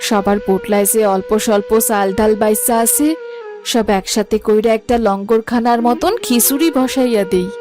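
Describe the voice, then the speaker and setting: A woman's voice: on the high side, steady tone, normal speed, music in the background, natural voice. A woman playing a devout, caring village mother with a rural Bangladeshi accent in a moral story. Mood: resolute, encouraging.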